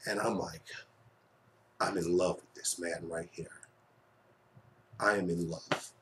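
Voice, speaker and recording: Male online reviewer, lowish voice, informal and gushing, conversational fan delivery with repeated phrases for emphasis. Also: fairly even intonation, slow, background noise. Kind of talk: casual, enthusiastic fan review to camera or mic.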